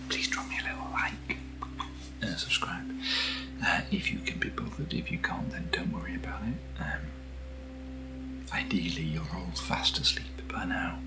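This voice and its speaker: A British man hosts in a hushed, breathy near-whisper with a low pitch. He chats casually in an ASMR style, unhurried and mildly self-deprecating. Music plays clearly underneath his voice. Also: low-quality recording. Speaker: host of ASMR unboxing videos. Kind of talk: soft-spoken ASMR, casual chat.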